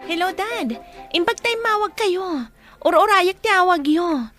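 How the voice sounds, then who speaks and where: A woman's voice: extremely high pitch, animated, unhurried, a bit bright, faint music behind, full character voice. A woman playing a dutiful, affectionate young college-age daughter in an Ilocano radio drama, with an Ilocano accent.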